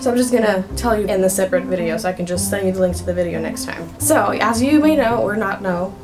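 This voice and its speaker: A woman's voice: mid-range voice, fast talker, music in the background. A young woman from Russia whose first language is Russian, chatting casually to camera as a vlogger in a rambling way with lots of fillers. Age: young adult.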